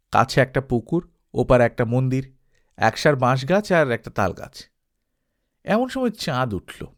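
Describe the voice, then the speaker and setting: A man's voice: medium pitch, lightly acted. Man voicing an exuberant, swooping male artist friend. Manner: effusive, coaxing.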